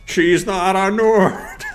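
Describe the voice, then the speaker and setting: A man voicing a preachy, outraged zealot in a drawn-out, mocking high shriek. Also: slight background noise. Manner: mocking, exaggerated.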